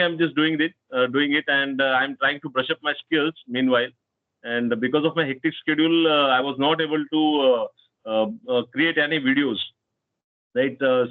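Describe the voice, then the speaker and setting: A man's voice: medium pitch, no echo. A man hosting a casual English practice chat, talking hesitantly with many fillers and tag questions. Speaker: channel host, conversation partner. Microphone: online call. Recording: tinny dry phone-like call line.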